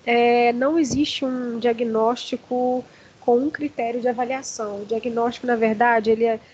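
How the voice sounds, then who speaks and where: A hoarse woman with a Brazilian accent, giving an online lecture with many fillers and restarts. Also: fairly high pitch, steady tone, moderate pace, darkish tone, muffled recording, a little hiss or hum. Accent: Brazilian Portuguese. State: hoarse from allergy.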